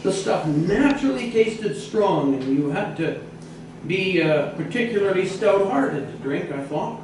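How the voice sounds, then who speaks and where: A man reading a humorous true story aloud as a storyteller, expressive, with some hesitant repeated words. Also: high-pitched, a little slow. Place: echoey indoor bistro. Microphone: distant microphone in the room. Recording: roomy, echoey, noisy, distant mic.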